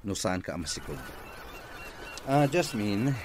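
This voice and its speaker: A man's voice: lowish voice, very animated, slow pace. A man acting a blunt, teasing company boss in a radio comedy drama, with an Ilocano accent. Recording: clear background noise.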